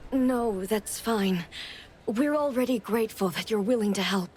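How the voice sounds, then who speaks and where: A woman's voice: mid-range voice, a little slow, a little mellow, slightly rough. Distressed, pleading young boy's mother. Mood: apologetic. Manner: worried, earnest, apologetic. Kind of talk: video game cutscene voice acting. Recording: background music under the voice.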